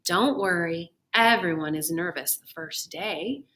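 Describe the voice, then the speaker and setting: Woman playing a gushing, brisk school principal, bright-voiced. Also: fairly low pitch, voice pushed down. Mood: reassuring.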